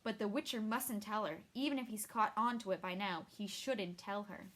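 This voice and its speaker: A woman voicing a learned older man who explains things in a measured way. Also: mid-range voice, fairly bright tone, natural voice. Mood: explaining.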